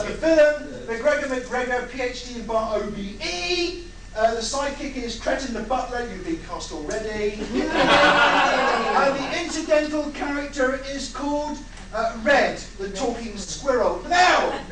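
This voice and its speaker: A man's voice: very high-pitched, fairly bright tone, a little hiss or hum. A British man hosting an informal audience brainstorm, exasperated, sweary and bantering. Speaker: host taking audience suggestions. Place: large echoey indoor event room with audience. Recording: echoey room, far mic, crowd chatter.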